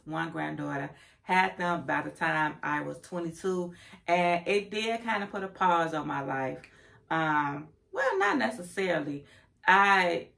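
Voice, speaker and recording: A woman's voice: low-pitched, creaky, fairly bright tone. A woman, chatting casually to camera about her life, slangy and self-correcting. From Chicago, South Side. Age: 40s. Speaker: vlogger. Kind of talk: casual get-to-know-me chat.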